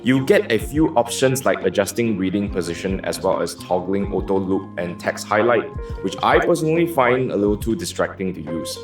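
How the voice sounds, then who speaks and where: A man's voice: on the low side, lively intonation, normal speed, music in the background. A man with a Malaysian accent reviewing gear from a script, dropping wry joking asides. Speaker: tech reviewer.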